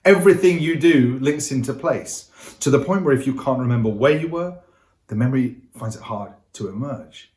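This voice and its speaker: Upbeat man teaching in a roomy space. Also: mid-range voice. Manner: upbeat, coaxing, addresses viewers directly. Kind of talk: energetic interactive lesson to camera. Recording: roomy echo.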